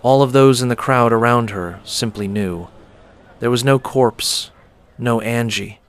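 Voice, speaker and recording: A man reading a dramatic scripted story as a storyteller, with deliberate, theatrical phrasing. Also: mid-range voice. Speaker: storyteller and host.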